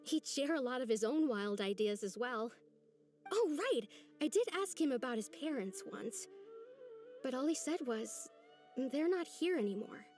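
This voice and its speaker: A gentle young village woman from a video game, speaks in a high, soft voice. She is earnest and wistful, choosing words with some hesitation. Faint music plays behind her. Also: animated, unhurried. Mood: pensive.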